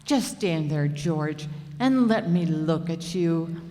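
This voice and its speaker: A woman's voice: deep voice, lively intonation, slow pace, background noise. A woman playing an affected, self-important lady poet, gushing and condescending, in an old-time radio comedy. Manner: pompous, gushing. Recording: echoey, reverberant hall.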